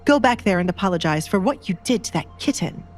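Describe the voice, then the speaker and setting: A woman's voice: medium pitch, expressive, fairly quick, soft background music, natural voice. A woman voicing a stern, scheming middle-aged nobleman in an audio drama, scolding and commanding.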